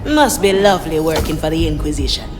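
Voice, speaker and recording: A woman's voice: deep voice, very animated, a little fast. An actress playing a bold female pirate navigator in a video game, with a dry, sardonic way of talking. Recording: long reverb over game music.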